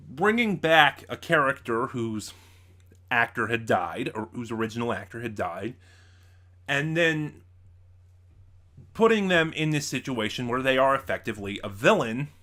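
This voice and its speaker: Bright, forceful man giving a casual review. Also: medium pitch. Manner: halting, thinking aloud, often backtracking and rephrasing. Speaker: audio drama reviewer, channel host. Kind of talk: casual spoken review to viewers.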